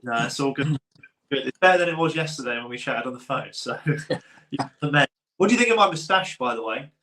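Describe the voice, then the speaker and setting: Chatty male podcast host, relaxed and rambling, recorded with a roomy echo. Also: mid-range voice, fast talker, a little hiss or hum. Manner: chatty, loose, laughs often. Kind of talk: casual podcast chat.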